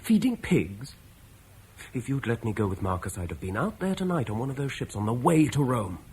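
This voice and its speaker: A man's voice: mid-range voice, big pitch swings, brisk, darkish tone, a little hiss or hum. A bitter, resentful young man. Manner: bitter, accusing. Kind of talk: 1950s radio play acting.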